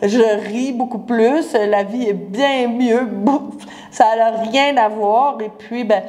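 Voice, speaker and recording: A woman's voice: on the high side, fairly even intonation, takes it slowly, darkish tone. A woman in her forties with a Quebec French accent, a naturopath giving a casual live talk and speaking straight to the viewer with everyday comparisons. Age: 40s. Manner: chatty, addresses the viewer directly, explains with everyday comparisons.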